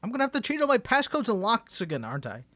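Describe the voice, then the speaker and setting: A man's voice: high voice, huge pitch swings, racing, bright, forceful tone, no echo, full character voice. Guy doing a teasing superhero hiding his identity, asmr roleplay, stammery, voice jumps all over, super dry sound. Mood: nervous, playful. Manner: stammering, teasing, swings from confident to nervous. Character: cocky young male superhero, flustered, hiding his secret identity.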